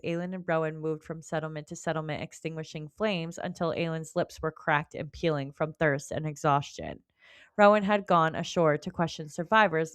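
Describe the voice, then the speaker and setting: Woman podcast cohost w a deep voice, dry sound, casual book talk and recaps. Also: clear, resonant tone, dry recording. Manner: informal, colloquial. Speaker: podcast co-host. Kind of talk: casual book club chat with read-out chapter recap.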